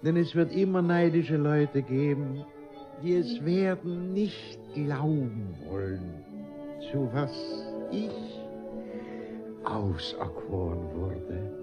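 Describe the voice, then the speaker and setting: A vain, gullible bourgeois gentleman in an old radio comedy. He declaims very slowly, wistful and full of his own importance. The 1950s recording is dull, with loud orchestral music behind him. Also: fairly high pitch. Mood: wistful, proud. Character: vain, gullible, deluded bourgeois man dazzled by grandeur.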